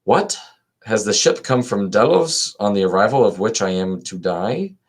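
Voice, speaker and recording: A man reading the part of a calm old philosopher resigned to death, composed, formal and questioning. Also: on the low side, moderate pace, breathy edge.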